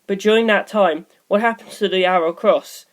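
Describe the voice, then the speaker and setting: Woman reading as a measured, inquisitive young detective. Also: medium pitch, lightly acted. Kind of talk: audiobook character dialogue.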